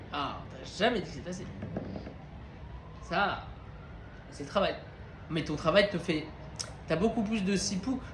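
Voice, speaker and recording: A man's voice: high-pitched, noisy background. A man giving an informal Torah class to a group of men, talking conversationally and often checking in with his listeners. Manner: conversational, questioning, addresses listeners directly. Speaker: Torah teacher. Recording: roomy echo with faint ambience.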